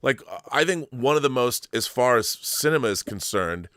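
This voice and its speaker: A man's voice: medium pitch, expressive, moderate pace, fairly bright tone, dry recording, studio quality. A man hosting a casual interview, talking like an enthusiastic fan and making his points emphatically, often restarting as he goes. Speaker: podcast host, interviewer.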